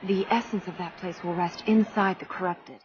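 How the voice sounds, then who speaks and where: An actress playing a young, determined princess who talks in a curt, earnest and sometimes scolding way. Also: female voice, fairly low pitch, steady tone, moderate pace, dull audio, noisy background, natural voice.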